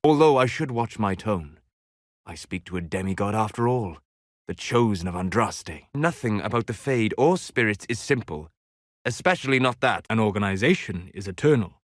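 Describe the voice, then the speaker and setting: An actor playing a composed, scholarly elven mage in a video game, speaking in a formal, measured, quietly judgmental way. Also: male voice, fairly low pitch, animated, no room sound, studio quality, natural voice. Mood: coldly scornful. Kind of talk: video game character dialogue.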